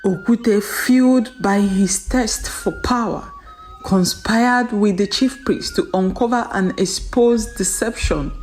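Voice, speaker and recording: A woman narrating a folktale in a dramatic, solemn and deliberate storytelling manner, repeating phrases for emphasis. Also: medium pitch, a little mellow, low-quality recording.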